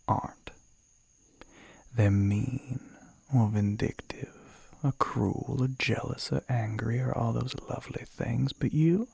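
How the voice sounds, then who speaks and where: Slow, breathy Southern gentleman demon played by a man. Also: mid-range voice, dry recording, exaggerated voice. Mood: gentle, consoling. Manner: slow courtly drawl, teasing, softly murmured, often breathy. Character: suave, flirty Southern crossroads demon, male, gentlemanly. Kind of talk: ASMR roleplay monologue.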